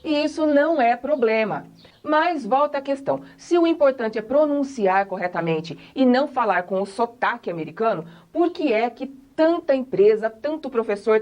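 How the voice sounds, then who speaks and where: A woman's voice: medium pitch, a little mellow. A woman from Brazil, an English teacher, giving a casual spoken lesson in an emphatic way, full of rhetorical questions.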